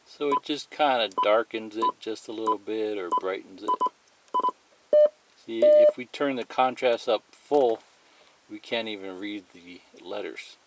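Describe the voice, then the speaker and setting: A man's voice: lowish voice, measured pace, heavy background noise. A man giving a plain, methodical, unscripted step-by-step demonstration of a product as a tutorial presenter.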